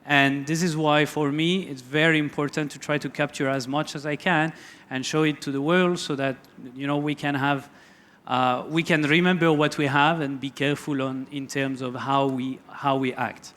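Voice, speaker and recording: A man with a French accent giving a relaxed, loosely worded talk on wildlife photography to an audience, full of fillers and restarts. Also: a little high, normal speed. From France. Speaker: photography lecturer. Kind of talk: conference talk.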